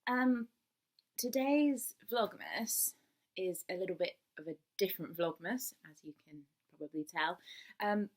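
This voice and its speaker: A woman's voice: medium pitch, unhurried. Chatty young woman vlogging. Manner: chatty, enthusiastic, frequent ums. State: recovering from a cold. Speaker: knitting vlogger. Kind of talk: casual chat to camera. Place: small indoor room.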